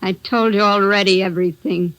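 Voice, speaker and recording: An actress playing a hot-tempered, evasive young wife under police questioning in an old radio crime drama, snappy and defensive. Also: female voice, medium pitch, steady tone, brisk, fairly bright tone, no echo, highs cut off, lightly acted. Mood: sullen, weary. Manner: snappy, defensive, tough-talking. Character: defiant young married woman, a murder suspect, hot-tempered and evasive. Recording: vintage radio drama recording.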